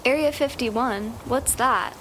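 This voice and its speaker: A woman's voice: a little high, slow pace, fairly bright tone, slight background noise, poor audio. A woman playing an anxious, reluctant young space traveler in an audio drama, skeptical and protesting. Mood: worried, skeptical.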